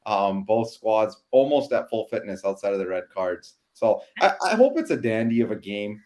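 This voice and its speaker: A man's voice: on the low side, sing-song, normal speed, darkish tone. A man on a sports podcast, joking and laid-back while giving injury analysis. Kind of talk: casual sports podcast chat.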